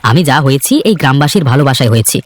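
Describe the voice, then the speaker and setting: A man's voice: mid-range voice, animated, very fast, close to an everyday voice. A man voicing an earnest, plain-spoken young villager in a fairy-tale cartoon, with a slightly chiding manner. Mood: earnest, friendly. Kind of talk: Bengali cartoon voice acting. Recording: background music under the voice.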